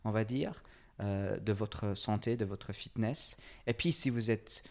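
Man, english accent, fairly low voice, hesitant with lots of fillers, interview guest. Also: measured pace, creaky, a little mellow. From England. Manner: hesitant, many fillers and restarts. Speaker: guest, fitness coach. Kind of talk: casual interview, halting French.

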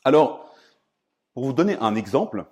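A man from France lecturing on philosophy in a didactic, emphatic way, often pausing to search for words. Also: medium pitch, very animated, a little mellow. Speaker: priest and philosophy professor giving a lecture. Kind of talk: public philosophy lecture, semi-improvised.

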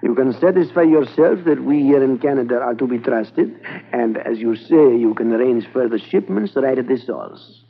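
Persuasive smuggling contact, animated delivery, 1950s radio play, tinny narrow sound. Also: male voice, mid-range voice, moderate pace, vocal fry. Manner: formal, persuasive phrasing. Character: smooth, formal smuggling-ring contact in Canada.

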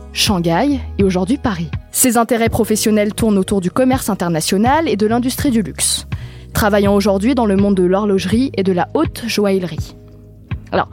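A young adult woman from Syria, hosting a podcast and reading a prepared introduction in a clear, measured way. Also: mid-range voice, mellow. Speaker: podcast host. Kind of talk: scripted podcast narration.

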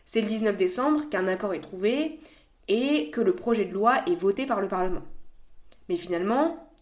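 A woman's voice: medium pitch, lively intonation, normal speed. Female podcast host, mid-range voice, scripted narration, explanatory delivery.

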